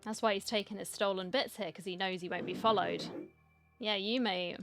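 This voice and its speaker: A woman's voice: medium pitch, fairly even intonation, moderate pace, music in the background. A woman gaming streamer giving casual, chatty commentary and reacting aloud as she plays.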